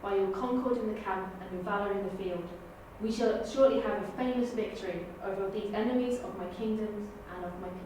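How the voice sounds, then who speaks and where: Earnest, proud Time Lord's daughter for sci-fi audio drama, played by a woman; mid pitch, recorded in a very reverberant room. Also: fairly quick, hardly any pauses, natural voice. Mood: proud, resolute. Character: proud, anxious daughter of an alien Time Lord. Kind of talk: audition improv and dramatic monologue. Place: university room. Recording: echoey room, distant mic, faint noise.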